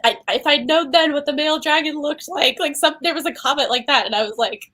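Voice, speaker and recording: A young woman co-hosting a live book chat, animated and quick to laugh. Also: high-pitched, slight background noise. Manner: animated, laughs easily, swings up and down. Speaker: book club co-host. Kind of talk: casual live book discussion.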